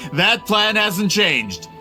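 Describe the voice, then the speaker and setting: An actor playing an arrogant, greedy inventor and publisher in a video game, with a pompous, theatrical delivery. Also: male voice, high voice, big pitch swings, very slow, music in the background, heavily acted. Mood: smug. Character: scheming, arrogant male inventor-publisher, greedy and self-important. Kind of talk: dramatic video game voice acting.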